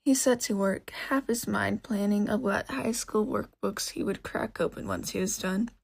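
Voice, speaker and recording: A woman reading a story aloud as expressive scripted narration. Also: medium pitch, moderate pace, creaky, husky. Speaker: narrator, podfic reader.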